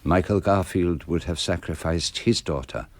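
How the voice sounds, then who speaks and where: An actor playing a shrewd, self-assured detective in a radio mystery, explaining things in a measured, deliberate way. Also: male voice, sits low, a little mellow, no room sound. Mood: grave, knowing. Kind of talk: radio mystery drama acting.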